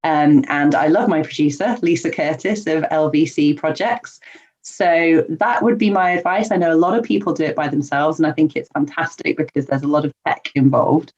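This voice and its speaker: A woman's voice: deep voice, moderate pace, darkish tone. A woman being interviewed on a podcast as a guest, answering in an earnest, chatty way with ums and light laughs. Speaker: podcast guest, life coach. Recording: dull lo-fi remote audio.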